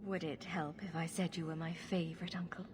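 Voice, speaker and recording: A woman's voice: on the low side, level tone, a little fast, noisy background, natural voice. An actress playing a wry, composed young refugee woman in a video game, speaking in a dry, self-possessed way. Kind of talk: video game drama acting.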